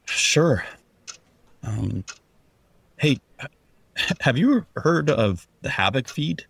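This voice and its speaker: An actor playing a depressed, withdrawn young man in a horror audio drama, speaking hesitantly and haltingly. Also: mid-range voice, lively intonation, vocal fry, a bit bright, slightly rough, no room sound, heavily acted. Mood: hesitant, subdued. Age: young adult.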